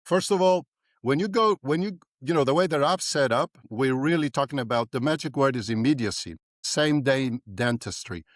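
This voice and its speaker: An older man from South Africa speaks as a dentist guest on a podcast, animated and fond of checking in with "right?". His voice sits at a middle pitch. The sound is dry and close. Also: moderate pace, no room sound. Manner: animated, repeats "right?" often. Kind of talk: podcast interview.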